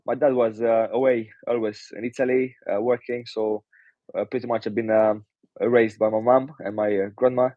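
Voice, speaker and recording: A man's voice: medium pitch, flat delivery, moderate pace, dry recording. A Romanian-born man in his twenties, a pro boxer, answering casually with frequent hesitations and "do you know". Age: 20s. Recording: dull muffled audio.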